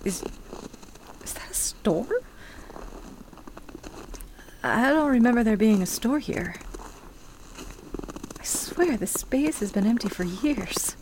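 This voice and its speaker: Lady acting as a skeptical puzzled woman in a radio play, kinda high voice, slow n hesitant, bit of noise behind. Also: expressive, a little mellow, rough recording. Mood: puzzled, intrigued. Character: skeptical, polite, bemused city woman walking her dog.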